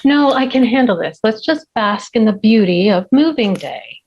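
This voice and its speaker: Actress as eager nervous woman in her twenties, high voice, table read drama, muffled lo-fi sound. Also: lively intonation, moderate pace, a little mellow. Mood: upbeat. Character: upbeat, anxious 25-year-old woman, new renter. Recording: dull lo-fi sound.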